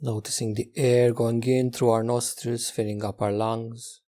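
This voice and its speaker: A man leading a guided meditation in a gentle, unhurried manner, leaving long pauses between instructions. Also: mid-range voice, flat delivery.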